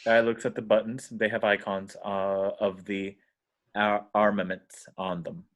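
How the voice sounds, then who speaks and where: A man's voice: lowish voice, level tone, unhurried, dull audio. A man narrating screenplay action lines at a table read. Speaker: reader of stage directions.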